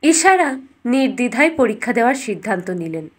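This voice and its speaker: A woman's voice: fairly high pitch, very animated, fairly quick, breathy edge. A woman storyteller reading a scripted fairytale as narration for a bedtime story.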